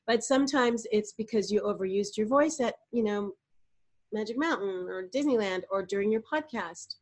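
A middle-aged woman answers as a voice coach on a podcast. Her voice sits in a middle pitch, and she explains things in an animated way. She sometimes breaks off to demonstrate vocal exercises.

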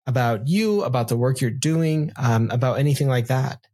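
Stammering male podcast host, husky tone. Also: medium pitch, moderate pace, mellow. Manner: stammering, effusive, repeats words. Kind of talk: interview questions.

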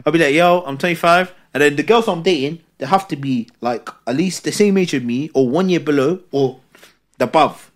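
A young man in his twenties, a podcast co-host chatting casually and loudly, laughing often and repeating fillers. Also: fairly high pitch, normal speed. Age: 20s. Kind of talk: casual chat.

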